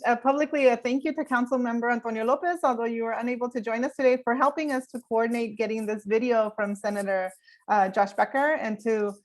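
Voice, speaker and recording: A woman hosting a virtual graduation ceremony, talking in a chatty way with fillers. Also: a little high. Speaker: program host.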